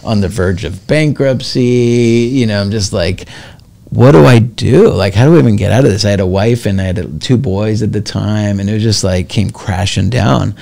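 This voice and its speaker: A middle-aged man hosting a podcast, talking in a chatty, earnest way full of fillers, on a desk mic in a bright office studio. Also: on the low side, moderate pace, vocal fry. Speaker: podcast host. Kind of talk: casual interview chat. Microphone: desk mic on boom arm.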